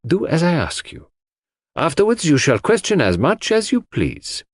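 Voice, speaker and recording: A man voicing a brisk, imperturbable male detective with a formal, probing manner in an audiobook mystery. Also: a little high, huge pitch swings, no echo, clean studio sound, pitched above the performer's own voice. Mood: assured.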